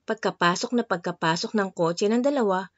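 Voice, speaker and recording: A woman narrates a scripted love story in a medium-pitched voice. She is recorded close and dry, as a voice-over. The audio is slightly dull and lo-fi. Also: a little fast, no echo.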